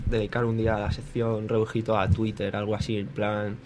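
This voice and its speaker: A man's voice: on the low side, completely flat delivery, brisk, noisy background. A man around in Spain, hosting a community radio show in casual, joking chat that trails off into mumbles.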